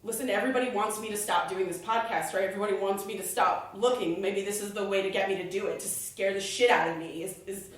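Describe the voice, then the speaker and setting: A woman's voice: mid-range voice, fast, few pauses, natural voice. Actress as shaken suspicious woman, very echoey and distant, stammering, agitated, repeats words, naturalistic audio drama. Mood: suspicious, accusing. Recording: echoey room, distant from mic.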